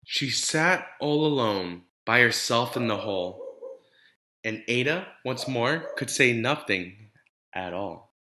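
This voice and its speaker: A man reading a rhyming picture book aloud to children in a deliberate, dramatic teacher's storytelling style. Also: medium pitch, animated, pushed and bright. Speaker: elementary teacher reading to students.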